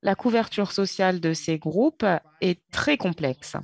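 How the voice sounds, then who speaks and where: A woman interpreting conference speeches in real time, her voice sitting on the low side. She talks in halting phrases, pausing and correcting herself as she goes. The sound is dry and close. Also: expressive, dry recording. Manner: halting, with pauses and self-corrections.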